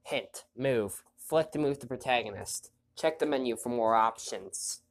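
Male let's-play host, stuffy nose, casual commentary, quietly reads game text. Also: unhurried. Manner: relaxed, chatty, reads story text in a quiet low voice. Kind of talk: casual gameplay commentary, reading on-screen text aloud.

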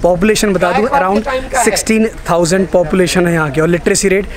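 A man's voice: sits high, brisk, a loud crowd. A young man talking to a handheld camera at arm's length on rainy platforms and in a train coach, chatting with viewers and mixing English into Hindi. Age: young adult. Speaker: travel vlogger. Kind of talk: casual travel vlog to camera. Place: outdoors on rainy station platforms and inside a moving train coach. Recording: loud outdoor and train noise.

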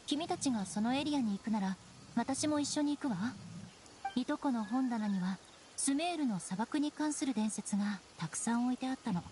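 A woman playing a cool, proud young knight in an anime game, poised and matter-of-fact in her measured delivery. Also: a little high, animated, mellow, a little hiss or hum, lightly acted. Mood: pensive. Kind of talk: Japanese anime game acting.

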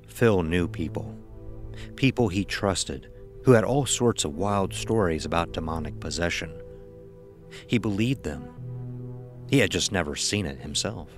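A man narrating a scripted horror story in a measured, ominous manner. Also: low-pitched.

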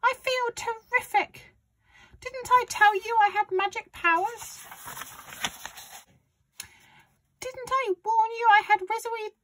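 A woman voicing a cantankerous, boastful old grandmother from a children's storybook, shouting and scolding. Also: very high voice, a little slow, no room sound, pitched above the performer's own voice, full character voice. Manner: shouting, accusatory, crowing.

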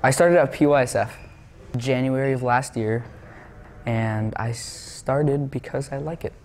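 A man's voice: mid-range voice, measured pace, a little mellow. A teenage boy gives brief, plain answers about sailing. He is recorded indoors against a plain wall, with a clean background. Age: teens. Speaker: student sailor. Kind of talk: interview answers.

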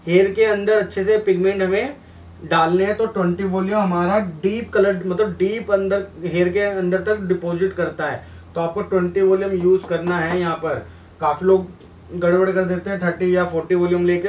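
Young male tutorial host, instructive and direct; echoey room with some background noise. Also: high-pitched. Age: young adult. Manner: instructive, frequently addressing viewers directly, mixing in English terms. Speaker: hair colour instructor and video host. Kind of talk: informal tutorial explanation at a whiteboard. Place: small indoor room beside a whiteboard. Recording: roomy echo, distant mic, background noise.

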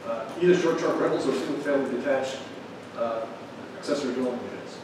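A man explaining things in a measured way as an official at a public meeting. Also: medium pitch. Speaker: town official advising a board. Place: large meeting room, distant camera. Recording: very echoey room, distant mic, noisy.

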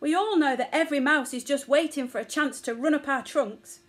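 A woman's voice: high-pitched, brisk, pitched above the performer's own voice, natural voice. A woman voicing a cross, bossy mother elephant in a children's story, emphatic and indignant. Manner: emphatic, huffy, grumbling.

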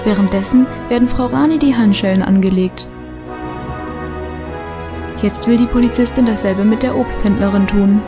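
A woman's voice: mid-range voice, normal speed, pauses often, dull audio, lightly acted. A woman giving scripted, dramatic narration for a radio play. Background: music.

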